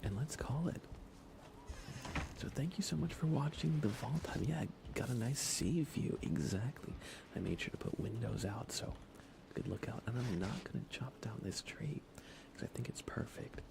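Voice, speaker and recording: A man streaming ASMR in a soft, often whispery voice, chatting in an unhurried, low-key way with pauses. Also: creaky. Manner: hushed, unhurried, relaxed delivery with pauses. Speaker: ASMR livestreamer.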